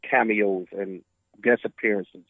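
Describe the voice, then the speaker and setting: A man raised in Miami, a film writer and producer calling in as a guest, talking casually in a halting way, repeating words and chuckling. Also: fairly low pitch, fairly even intonation, dry recording. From born in Brooklyn, raised in Miami. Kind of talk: casual radio phone interview. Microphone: phone. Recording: tinny phone line, dry.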